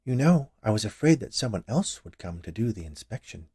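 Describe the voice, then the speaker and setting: A man's voice: on the low side, very animated, fairly quick, warm tone, husky, no echo, studio quality, full character voice. A man voicing a century-old witch confectioner in a story reading, gushing and theatrical with a vengeful streak. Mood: coy, gushing. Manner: gushing, theatrical, overly polite. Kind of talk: dramatized short story reading.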